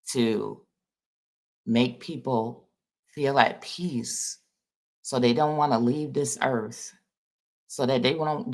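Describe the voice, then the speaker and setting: A man's voice: mid-range voice, gravelly, a bit bright. A man giving a loose, rambling spiritual talk to his viewers in an informal, folksy way. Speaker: spiritual vlogger. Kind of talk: casual spiritual monologue.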